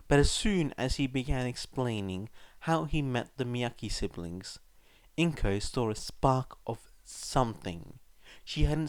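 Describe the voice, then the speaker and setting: A man's voice: mid-range voice, dry recording. A man reading scripted fiction aloud as an audiobook narrator, on a close microphone.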